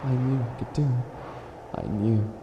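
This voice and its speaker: A man's voice: mid-range voice, steady tone, a little slow, dull audio, natural voice. A man playing a theatrical, flustered would-be evil witch in a comedic ASMR roleplay, stammering and stretching words for dramatic emphasis. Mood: proud, wistful. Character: flustered self-styled evil witch, hopelessly helpful, theatrical. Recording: echoey room with audible background noise.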